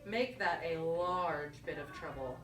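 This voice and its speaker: A woman's voice: low voice, takes it slowly, a bit bright. A woman teacher reading a picture book aloud to children, encouraging them with questions and repeating their answers. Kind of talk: storybook read-aloud with conversational questions to children. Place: echoey indoor room with children. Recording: echoey room, distant mic, faint noise.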